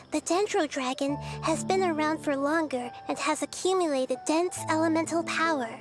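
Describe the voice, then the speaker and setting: An actress voicing a small, excitable female fairy companion who exclaims and talks about herself in the third person, over game music. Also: very high-pitched.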